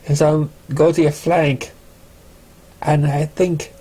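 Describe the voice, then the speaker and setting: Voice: medium pitch, level tone, takes it slowly, dark tone, breathy edge. A narrator voicing a brash, protective young pony who threatens and insults cockily in a storybook reading. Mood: cocky scorn.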